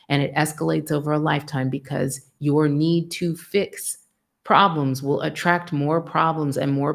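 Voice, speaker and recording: A middle-aged American woman giving a live spiritual sermon, frank and profane, acting out dialogue in an animated way. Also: low voice, vocal fry. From United States. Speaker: spiritual teacher.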